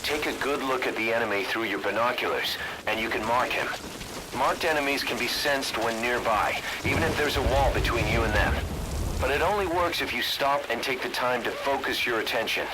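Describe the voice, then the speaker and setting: An actor playing a brisk military support officer giving instructions to a soldier in a video game. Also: male voice, mid-range voice, background noise, lightly acted. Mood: brisk, instructive. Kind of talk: scripted game tutorial instructions.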